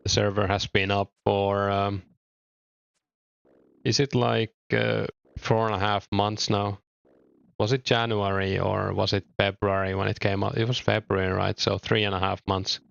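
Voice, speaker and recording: Finnish-accented man, low monotone voice, gravelly edge, dull dry desk mic. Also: unhurried, dry recording. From Finland. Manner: relaxed, hesitant, thinks aloud. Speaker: game streamer. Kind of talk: casual chat reading viewer comments.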